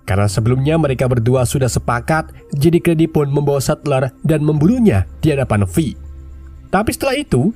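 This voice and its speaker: A man recaps a film in a lively, casual storytelling voice. His pitch rolls up and down freely. A head cold leaves him nasal and stuffed up, with faint music underneath. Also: fairly quick.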